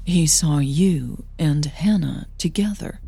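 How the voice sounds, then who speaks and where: A woman voicing an earnest, cautioning female coach in an audio drama, measured and persuasive. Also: low-pitched, vocal fry, warm tone, breathy edge, full character voice. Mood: hesitant, earnest.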